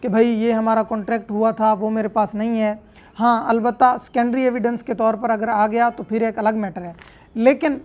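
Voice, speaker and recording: A woman's voice: on the high side, steady tone, moderate pace, a little mellow. A woman with a Pakistani accent giving an explanatory law lecture, methodically restating each point as a teacher would. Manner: didactic, repeats and rephrases each point. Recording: dull, muffled lo-fi audio.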